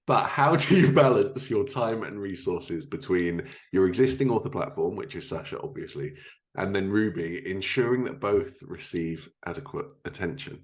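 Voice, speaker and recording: A man's voice: low-pitched, normal speed. A man hosting a podcast, asking questions in a casual interview chat. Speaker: podcast host.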